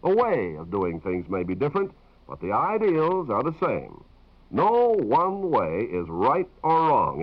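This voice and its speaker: A man announcing on 1950s radio, reading scripted broadcast narration. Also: a little high. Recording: dull vintage radio broadcast recording.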